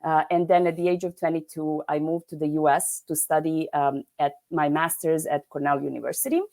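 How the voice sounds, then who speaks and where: Keynote speaker: woman from Serbia, lowish voice, halting delivery full of fillers, heard over a video call. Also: little pitch movement, mellow. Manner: hesitant, many fillers and restarts. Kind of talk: online conference keynote.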